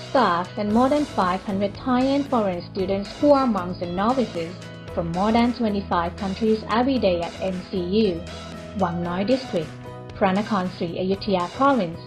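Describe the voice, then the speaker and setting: A woman reading a scripted announcement, slowly and carefully spelling out phone numbers. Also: mid-range voice, music in the background.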